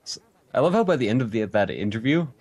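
A young man with a Canadian accent co-hosting a casual podcast, loose and quick to laugh. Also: medium pitch, big pitch swings, a little mellow. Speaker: podcast co-host.

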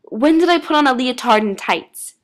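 Teenage girl, woman narrator voicing her, high pitch, startled, incredulous, indignant, panicky, story character. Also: animated, moderate pace.

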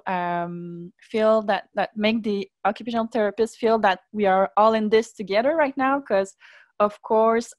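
A woman in her thirties from Montreal, a native French speaker with a Quebec accent, speaking English haltingly and searching for words. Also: medium pitch, no room sound, dull audio. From Montreal, Canada. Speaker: webinar participant. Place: indoor room at home. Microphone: earbuds on video call.

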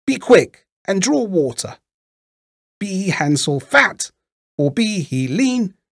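A man's voice: high voice, sing-song, slow, gravelly, fairly bright tone, no room sound, clean studio sound, voice pushed up, full character voice. A man voicing a wicked old witch from a storybook, cruel, bossy and scolding. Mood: impatient menace. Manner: scolding, commanding. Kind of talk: storybook character voice.

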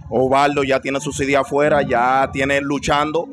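A man's voice: mid-range voice, little pitch movement, moderate pace. Man with a mid-range voice. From Dominican Republic. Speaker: salsa singer being interviewed. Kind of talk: casual on-camera interview. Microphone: handheld interview microphone.

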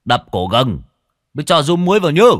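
Man doing a quick-tempered old shaman for a spoken tale: loud, raised voice, dry close mic. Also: on the high side, sing-song, no room sound, voice pushed up. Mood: bossy. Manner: barking orders, loud and gruff. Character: bossy older male village shaman, quick-tempered.

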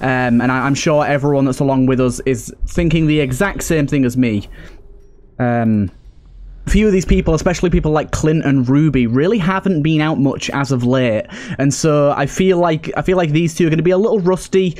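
A man's voice: fairly high pitch, brisk, darkish tone. A British man giving casual let's play commentary, thinking aloud and addressing the characters on screen as he goes.

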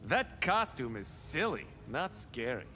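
A proud fighter from a video game. His sharp voice is dismissive and deliberate, moving freely in pitch over music. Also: very animated, a little hiss or hum. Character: proud, cocky male fighter in a broad hat.

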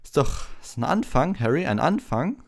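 A man's voice: on the high side, very animated, normal speed, vocal fry, pitched above the performer's own voice, heavily acted. A man voicing a vain, patronizing celebrity wizard professor in a gushing, condescending manner for an audiobook. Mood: smug, patronizing. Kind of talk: audiobook character voice.

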